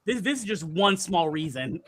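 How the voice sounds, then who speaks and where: Male podcast panelist, high bright voice, loud and projecting, excitable storyteller who stumbles over repeats. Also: normal speed. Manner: excitable, stammering repetitions. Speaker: podcast panelist recounting a convention trip. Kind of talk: casual chat, storytelling.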